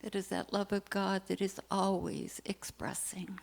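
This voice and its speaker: A woman leading a slow, solemn spoken prayer at a church service. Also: mid-range voice, level tone, vocal fry. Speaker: prayer practitioner.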